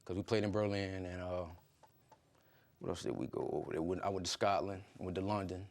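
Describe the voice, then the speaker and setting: A man's voice: low voice, fairly even intonation, a little fast, creaky. A middle-aged man from Virginia, a football coach chatting casually as an interview guest in colloquial speech. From Virginia, United States. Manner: colloquial, peppered with "you know". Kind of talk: casual chat.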